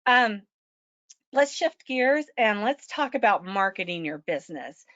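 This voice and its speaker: A woman presenting a small-business webinar, a little hoarse from allergies, often clearing her throat and saying um. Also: medium pitch, sing-song, measured pace, a bit bright, dry recording. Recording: dull lo-fi web call audio.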